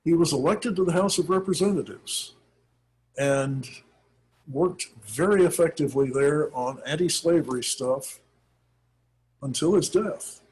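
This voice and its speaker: A man, a minister, giving an informal talk on church history, thoughtful and halting with many pauses. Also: on the high side, darkish tone, breathy edge, low-quality recording.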